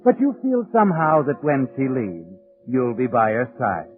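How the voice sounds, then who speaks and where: A sinister storyteller in an old radio suspense show, insinuating and dramatic. His pitch swoops widely, and he comes through on a tinny vintage recording with some music behind. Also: wildly swooping pitch, moderate pace. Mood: knowing, insinuating. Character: sinister, knowing narrator taunting the guilty man. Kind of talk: 1940s radio suspense narration in second person.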